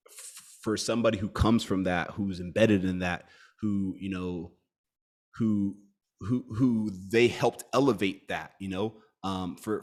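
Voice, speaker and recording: A young man co-hosting a podcast, talking in a reflective, casual way as he searches for his words. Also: deep voice. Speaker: podcast co-host. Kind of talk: casual chat.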